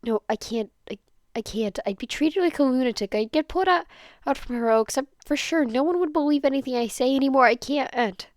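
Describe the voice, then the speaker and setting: A woman's voice: high-pitched, pitched above the performer's own voice, close to an everyday voice. A woman voicing an anxious, guilt-ridden teenage boy in a story, stammering and pleading. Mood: scared, pleading. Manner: stammering, pleading, rushed rambling. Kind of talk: dramatised fan fiction reading.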